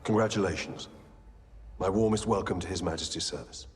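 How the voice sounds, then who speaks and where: An actor playing a stern, authoritative British wartime intelligence officer in a film, speaking formally and gravely. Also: male voice, low voice, brisk, natural voice. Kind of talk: period film drama dialogue. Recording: film audio with long hall reverb.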